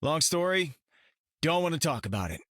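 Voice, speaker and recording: Bright, roguish space pilot. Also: male voice, a little high, big pitch swings, moderate pace, no echo, clean studio sound. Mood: flippant. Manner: casual, flippant. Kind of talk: video game character acting.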